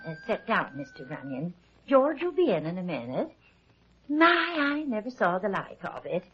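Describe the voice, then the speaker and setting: An actress playing a chatty, naive farm wife with a rural American accent and a husky voice in an old radio drama. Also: female voice, medium pitch, huge pitch swings, normal speed. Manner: folksy, rambling, hesitant. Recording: muffled old radio recording.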